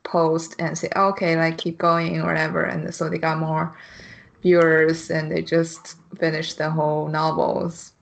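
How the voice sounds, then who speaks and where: A woman's voice: deep voice, level tone, unhurried, gravelly. A woman chatting casually as a podcast guest, explaining things in a tentative way. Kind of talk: casual chat.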